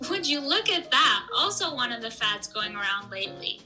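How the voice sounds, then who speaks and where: A woman's voice: a little high, very animated, normal speed, over music. A woman playing a chatty, upbeat radio host in a scripted 1920s-style show, with chirpy period banter.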